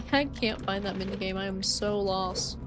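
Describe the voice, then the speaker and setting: A woman's voice: medium pitch, normal speed. A woman streaming a game in casual, rambling chat full of "like" and "um", her voice getting dry and worn from talking so long. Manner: chatty, rambling, frequent "like" and "um". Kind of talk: casual livestream chat while playing. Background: game music.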